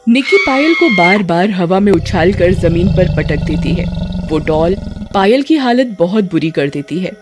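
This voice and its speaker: A woman's voice: fairly low pitch, sing-song, a little slow, over music. A woman storyteller delivering scripted horror story narration.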